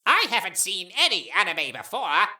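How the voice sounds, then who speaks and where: A woman's voice: medium pitch, huge pitch swings, moderate pace, bright, forceful tone, exaggerated voice. A woman playing a proud, self-important monster companion in an audio drama, with a pompous, theatrical delivery. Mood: snooty. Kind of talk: amateur audio drama acting.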